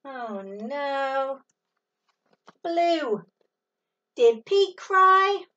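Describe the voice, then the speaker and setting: High, lively woman reading a storybook. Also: drawn-out words. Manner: animated storytelling with dramatic gasps and exclamations. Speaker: teacher. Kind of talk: reading a picture book aloud to a child. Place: small room at home. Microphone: laptop webcam at table distance. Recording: dull lo-fi webcam audio from a distance.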